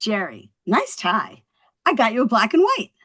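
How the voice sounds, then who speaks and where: An actress playing a brash, quick-witted young wife in a scripted comedy table read. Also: female voice, fairly high pitch, wildly swooping pitch, a bit bright, exaggerated voice. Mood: cheerful.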